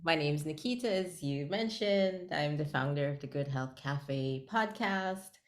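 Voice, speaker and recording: Woman from Guyana, interview guest, cheerful and laughing, low voice, roomy echo. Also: measured pace. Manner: cheerful, laughs between phrases. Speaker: podcast founder, guest. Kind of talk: casual interview answers.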